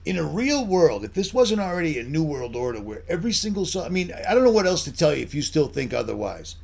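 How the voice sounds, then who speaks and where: A man's voice: on the high side, sing-song, quick pace, gravelly, darkish tone. A middle-aged American man giving an off-the-cuff solo commentary, blunt and insistent, often putting rhetorical questions to the listener. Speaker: online channel host.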